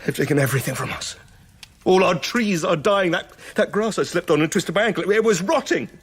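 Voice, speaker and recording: A man's voice: high voice, expressive, a little fast, husky, no room sound, exaggerated voice. An actor in a radio drama playing a despairing, haunted male survivor of an alien invasion, with an anguished, stammering, theatrical delivery. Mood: shaken, urgent. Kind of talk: dramatic radio play acting.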